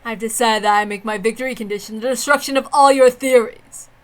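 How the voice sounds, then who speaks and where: Woman voicing a proud, high-voiced taunting witch. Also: fairly even intonation, a little fast, close to an everyday voice, low-quality recording. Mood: composed challenge. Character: proud, composed female witch, taunting duelist. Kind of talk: dramatic reading of a visual novel.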